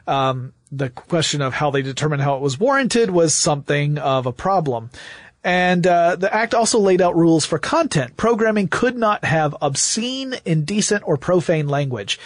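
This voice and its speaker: A man with a moderately high voice talks as a podcast co-host. He explains in a conversational way, thinking aloud as he goes. The sound is dry, with no room around it. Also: dry recording.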